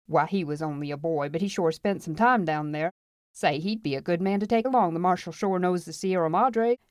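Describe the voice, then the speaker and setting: A woman's voice: on the low side, brisk, darkish tone, dry recording, clean studio sound, close to an everyday voice. Woman doing an eager old frontier townsman. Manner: folksy frontier talk. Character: eager, chuckling old townsman hungry for gold.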